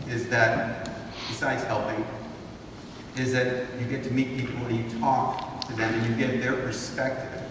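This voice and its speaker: Man lecturing in a boomy hall. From Honduras. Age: 50s. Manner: rhetorical, asks the audience to think. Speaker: guest speaker. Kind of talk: lecture to students. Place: large wood-paneled hall, indoors. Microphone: far camera, no mic seen. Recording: echoey hall from far off, noisy.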